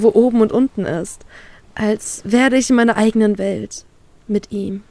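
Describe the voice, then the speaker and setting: A woman reading her own first-person romance fan fiction with calm, steady narration. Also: medium pitch.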